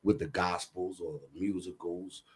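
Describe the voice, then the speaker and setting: A man's voice: low-pitched, steady tone, moderate pace, breathy edge. An American man speaking as an interview guest in casual, streetwise, emphatic talk, often checking that he is understood. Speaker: guest, stage playwright.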